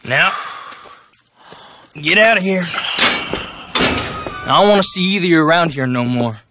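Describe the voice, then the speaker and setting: An actor playing a rough, hostile Wild West lawman in a video game. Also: on the high side, animated, a little slow, loud music behind the voice. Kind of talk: video game cutscene acting.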